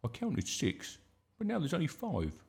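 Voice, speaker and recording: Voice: medium pitch, wildly swooping pitch, unhurried, vocal fry, a little mellow, pitched above the performer's own voice, full character voice. A performer voicing an eager, cheeky little schoolboy in a storybook, earnest and pleading. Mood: sheepish, earnest.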